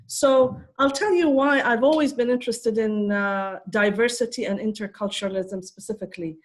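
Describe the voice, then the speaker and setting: A woman's voice: on the high side, normal speed. A woman in her fifties from Ethiopia, giving a conversational talk on a panel with frequent fillers. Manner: conversational, many fillers and restarts. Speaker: conference speaker.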